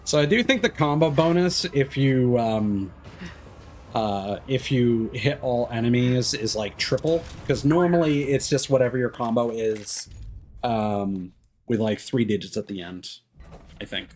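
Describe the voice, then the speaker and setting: A man's voice: medium pitch, a little slow, a little mellow. Man casually commentating a game, noisy background. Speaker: game streamer. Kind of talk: casual live gameplay commentary.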